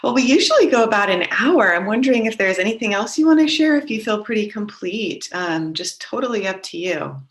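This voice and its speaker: A woman hosting an interview, asking open questions in a gently encouraging way. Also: medium pitch, animated, fairly quick, hardly any pauses. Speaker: podcast host.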